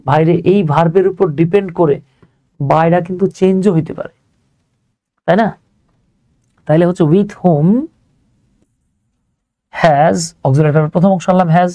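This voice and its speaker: A man's voice: fairly high pitch, fairly even intonation, moderate pace, pauses often, dark tone, dry recording. A man with a Bangladeshi accent teaching a live online grammar lesson step by step, informal and chatty, often putting questions and answering them himself.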